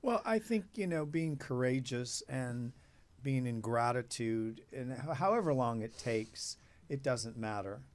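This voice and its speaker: A man's voice: medium pitch, lively intonation, unhurried. A man hosting an interview show, asking questions and offering encouraging remarks. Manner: encouraging, affirming, short interjections. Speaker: talk show host. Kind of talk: casual interview chat.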